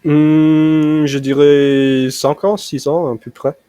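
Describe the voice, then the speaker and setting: A young American man, a native English speaker, chatting in halting French as a language learner over a video call, with frequent fillers and restarts. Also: fairly high pitch, flat delivery, dark tone, smooth, dry recording. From United States. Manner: hesitant, many fillers and restarts. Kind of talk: casual conversation practice.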